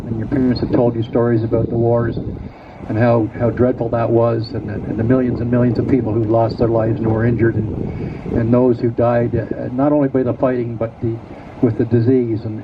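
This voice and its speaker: A man who is a mayor giving a halting speech to schoolchildren at an outdoor ceremony, with many fillers and restarts. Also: mid-range voice. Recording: distant, muffled outdoor recording with loud background noise.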